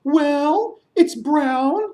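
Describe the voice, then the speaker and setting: Guy doing a super high excitable lady bat puppet, friendly, kids story. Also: very slow, echoey room, heavily acted. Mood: excited, friendly.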